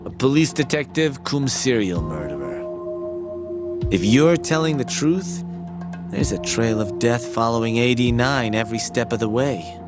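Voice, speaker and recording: An actor playing a sly, knowing journalist informant in a video game, sardonic under surface politeness. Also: male voice, mid-range voice, expressive, unhurried, close to an everyday voice. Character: sly, smooth-talking male journalist informant, casually knowing. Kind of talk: video game cutscene dialogue. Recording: music behind the voice.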